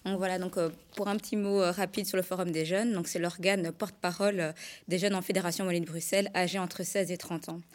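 A young woman with a somewhat low voice lays out a study's findings. She is methodical and explanatory, linking her points with frequent fillers. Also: flat delivery, fast talker. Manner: explanatory, structured, frequent "donc" and "euh". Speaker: youth organisation vice-president. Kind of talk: panel presentation.

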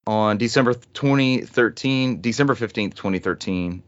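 A man's voice: medium pitch, moderate pace, no echo, dull audio. A man hosting a casual gaming podcast, chatting and reading bits of trivia aloud. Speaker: podcast host.

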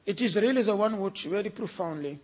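Older man, fairly high husky voice, measured reflective speaker. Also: animated, darkish tone. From Senegal. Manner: deliberate, repeating words for emphasis. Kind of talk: reflective talk.

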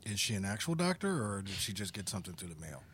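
A man co-hosting a comedy podcast, firing off skeptical, deadpan quips. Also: lowish voice, fast, husky. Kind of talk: casual comedy podcast chat.

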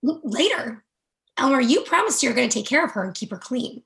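A woman's voice: sits high, very animated, a little fast, gravelly, a bit bright, full character voice. A woman in a play reading, playing a stern, protective and reproachful sister. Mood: disapproving. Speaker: actor in a staged play reading. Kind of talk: readers theatre acting.

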